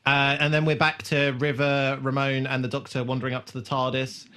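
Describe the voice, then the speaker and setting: A man's voice: medium pitch, monotone, brisk, bright, forceful tone, smooth. A man co-hosting a podcast, chatting casually and discursively, with some swearing. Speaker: podcast co-host. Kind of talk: casual chat recapping a TV episode.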